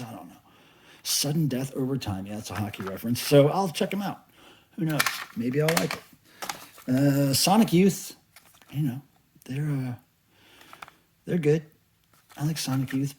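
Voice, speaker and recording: A middle-aged man showing off his collection in casual, unscripted chat, enthusiastic, rambling and a little profane. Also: medium pitch. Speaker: cassette collector and video host. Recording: clearly noisy home recording with clicks.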